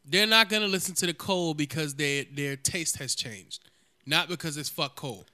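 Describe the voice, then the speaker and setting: A man's voice: fairly high pitch, normal speed, bright, forceful tone. A man co-hosting a podcast, teasing and trash-talking in casual chat. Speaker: podcast co-host.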